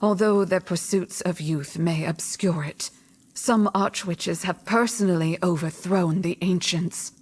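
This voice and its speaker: An actress playing a solemn, all-knowing mystic mentor in a video game, measured and cryptic in manner. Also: female voice, lowish voice, fairly even intonation, breathy edge. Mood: solemn, instructive. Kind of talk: video game cutscene acting.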